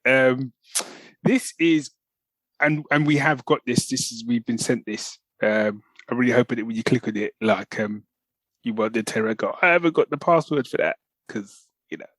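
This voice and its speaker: Podcast co-host: British man, middle-aged, mid-pitched voice, informal and chatty, recorded dry. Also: moderate pace, a bit bright, no echo, rough recording. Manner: informal, often says man and you know. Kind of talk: casual chat.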